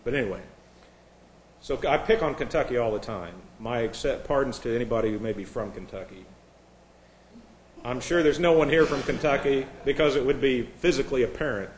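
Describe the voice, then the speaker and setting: A man's voice: medium pitch, brisk, slight background noise. A man from Indiana preaching a sermon in a conversational way, full of asides and wry jokes, and reading scripture aloud in a raised, formal voice. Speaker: pastor. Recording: echoey room.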